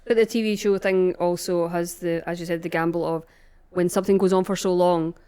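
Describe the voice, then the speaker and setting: A woman's voice: on the low side, fairly even intonation, fairly quick, mellow, clear, resonant tone. A woman chatting casually as a podcast panellist, opinionated and emphatic. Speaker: podcast panellist, game shop staff. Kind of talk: casual chat.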